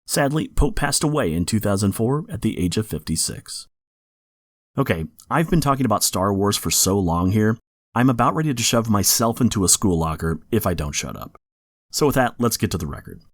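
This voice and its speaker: Male podcast host: informative read, lowish husky voice, highly varied pitch, dry sound. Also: very animated, fairly quick, no room sound. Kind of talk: informative scripted podcast narration.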